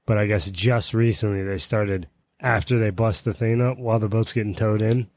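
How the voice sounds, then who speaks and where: A man's voice: fairly low pitch, fairly even intonation, normal speed, a little mellow, no echo. A man co-hosting a podcast in casual banter, blunt and joking, with frequent swearing. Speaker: podcast co-host.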